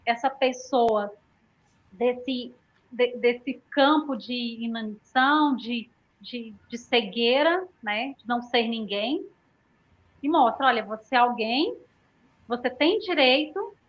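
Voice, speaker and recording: High-voiced Brazilian woman in muffled audio. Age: 30s. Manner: punctuates points with frequent tag questions. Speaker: panelist in an education discussion. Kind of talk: reflective, conversational academic discussion. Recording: dull lo-fi audio.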